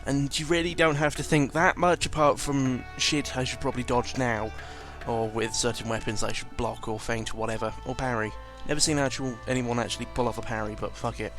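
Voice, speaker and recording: A man's voice: mid-range voice, steady tone, fairly quick, hardly any pauses, music in the background. A man giving casual, rambling game commentary, informal and sweary, often correcting himself as he goes. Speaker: gaming video commentator.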